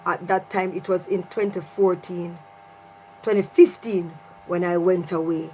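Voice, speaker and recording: A woman's voice: fairly low pitch, fairly even intonation, unhurried, dark tone, low-quality recording. A middle-aged woman with a Jamaican accent tells a personal story casually to viewers, repeating phrases for emphasis. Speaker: vlogger telling a personal story. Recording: clear mains hum and noise.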